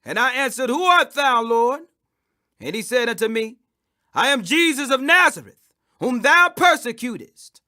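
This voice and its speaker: A man's voice: very high-pitched, big pitch swings, measured pace, sharp tone. A man reading scripture aloud in a dramatic, declamatory preacher-like style, stressing and drawing out phrases. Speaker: scripture reader.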